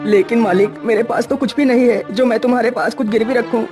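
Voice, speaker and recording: A woman's voice: a little high, steady tone, fast, music in the background, lightly acted. A woman voicing a poor, humble male cartoon villager, deferential and pleading.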